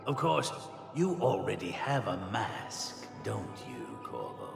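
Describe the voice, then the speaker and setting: A man's voice: fairly low pitch, wildly swooping pitch, slow, vocal fry, music in the background, full character voice. An actor playing a pompous, cultured older painter in a video game, wry and savouring his words. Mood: sly, wry. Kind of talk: dramatic video game voice acting. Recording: echoing reverberant room with background noise.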